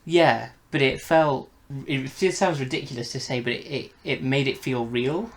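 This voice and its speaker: Casual male podcast reviewer, enthusiastic, mid-pitched with a gravelly creak, some background noise. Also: moderate pace. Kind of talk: casual chat reviewing an audio drama.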